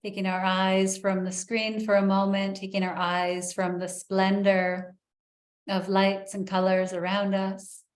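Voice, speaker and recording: A woman leading a guided breathing meditation in a soft, soothing way, with long pauses. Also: mid-range voice, little pitch movement, echoey room.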